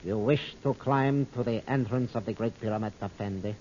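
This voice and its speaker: A muffled, noisy old radio recording of a proud guide played by a male actor, mellow and grave. He speaks formally, like a loyal servant of a princess in an adventure serial. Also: on the low side, moderate pace, lightly acted. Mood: grave, mysterious. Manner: formal, grave, declamatory phrasing.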